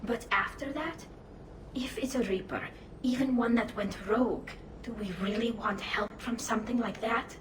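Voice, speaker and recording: A woman's voice: on the high side, normal speed, roomy sound, distant mic, noisy background, lightly acted. A woman voicing a skeptical squadmate in a video game, questioning warily. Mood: doubtful. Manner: wary questioning.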